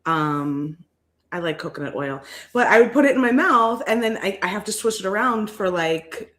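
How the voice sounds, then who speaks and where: A woman co-hosting a craft livestream, chatting casually with a raspy morning voice, telling a story and laughing often. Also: mid-range voice, lively intonation, normal speed, a bit bright. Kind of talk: casual chat.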